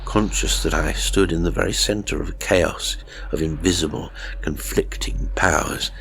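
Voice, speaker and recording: A man narrating a horror story in a measured, suspenseful and theatrical way. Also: low voice, gravelly, a bit bright, slight background noise. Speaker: audiobook narrator.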